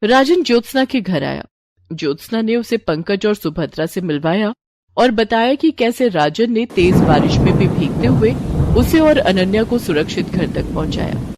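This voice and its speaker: A woman's voice: medium pitch, lively intonation, normal speed, noisy background. A woman reading a scripted story as its narrator.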